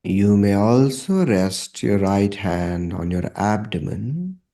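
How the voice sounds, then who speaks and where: A man leading a guided meditation in a calm, measured manner, leaving long pauses between short phrases. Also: on the low side.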